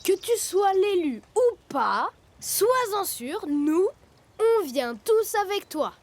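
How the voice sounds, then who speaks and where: Young male tiger cub, cartoon, playful, teasing, very high, slow-paced, voiced by a woman. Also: big pitch swings, darkish tone, no echo, heavily acted. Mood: loyal. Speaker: dubbing voice actor. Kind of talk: children's cartoon dubbing. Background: music.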